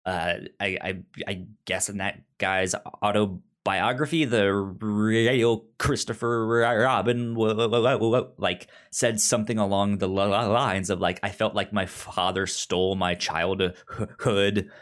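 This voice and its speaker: A man with a low voice talks through his thoughts at an unhurried pace in a casual book chat. His speech is halting, and he often stammers on the start of a word or repeats it before moving on. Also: fairly even intonation. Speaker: podcast co-host.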